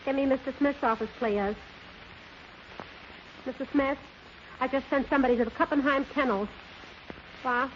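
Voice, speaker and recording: Wisecracking agency clerk actress, high, dry and snappy; dull, lo-fi vintage radio comedy. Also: female voice, normal speed, pauses often, lightly acted. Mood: businesslike. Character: brisk, wisecracking female employment agency clerk. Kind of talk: 1930s radio comedy acting. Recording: muffled, noisy 1930s radio recording.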